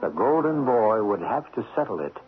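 A man's voice: on the low side, big pitch swings, normal speed, slight background noise. A man narrating as host of a radio drama in a theatrical, knowing, teasing way. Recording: muffled vintage radio recording. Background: music.

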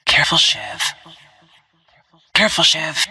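A woman voicing a stealthy, cold-blooded assassin in a video game, with hushed, clipped, menacing short lines in a breathy, whispery voice. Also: deep voice, big pitch swings, measured pace, full character voice. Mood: hushed, watchful. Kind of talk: video game character callouts.

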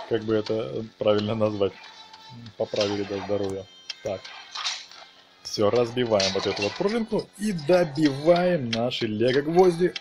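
Man narrating gameplay over clear music. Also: mid-range voice. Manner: talks to viewers, exclaims in interjections. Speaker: gaming video narrator. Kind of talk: casual gameplay commentary. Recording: music behind the voice. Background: game music.

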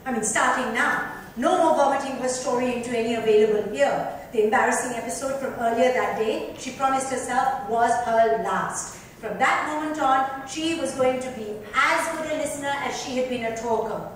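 A woman's voice: high-pitched, moderate pace, few pauses. Woman doing a dramatic book reading, emphatic, echoey hall, far from mic. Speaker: author reading her book. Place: club lounge, indoors. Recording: echoey hall, distant mic, faint noise.

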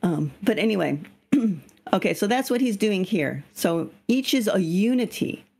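A woman's voice: mid-range voice, lively intonation, a little slow, darkish tone, slightly rough. Slightly scratchy woman leading a video-call reading group. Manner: explains patiently, asks the group questions. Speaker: study group leader. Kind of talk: leading an online reading discussion.

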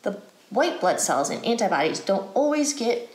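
An American woman reading a picture book aloud to children as an educator, phrasing deliberately in a guided read-aloud style. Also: medium pitch, sing-song. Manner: deliberate, clearly phrased, asks questions. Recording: roomy indoor echo.

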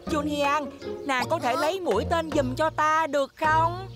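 A woman's voice: high voice, a little slow, music in the background. A woman playing an imperious, love-struck young boy prince in a cartoon dub. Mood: haughty.

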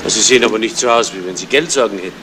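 Male actor for good-natured junior cop, Bavarian accent, brisk, stumbling delivery, noisy old radio drama recording. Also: fairly low pitch, natural voice. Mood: friendly, teasing. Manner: colloquial, stammering, defensive. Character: good-natured, flustered, soft-hearted male junior policeman. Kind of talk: 1970s German radio crime drama acting.

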